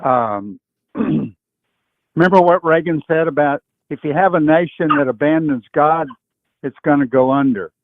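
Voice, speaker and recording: A man in his eighties calling in to a political talk show by phone, speaking his opinions haltingly. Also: a little high, dry recording. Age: 80s. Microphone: phone call. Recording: thin tinny phone line.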